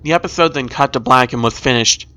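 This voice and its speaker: A man's voice: mid-range voice, fast, pushed and bright, smooth. A man reading a scripted first-person horror story as its narrator.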